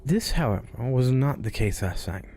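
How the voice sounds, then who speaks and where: A man hosting a spooky story show, reading tales aloud in a hushed voice that often drops to a whisper. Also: medium pitch, expressive, moderate pace, a little hiss or hum. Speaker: storyteller host.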